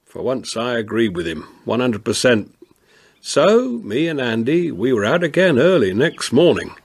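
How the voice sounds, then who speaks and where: An actor playing a middle-aged, seasoned policeman with a husky voice, steady and firm, in a radio drama. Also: mid-range voice, no room sound, clean studio sound. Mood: wry, weary narration. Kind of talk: radio drama acting with first-person narration.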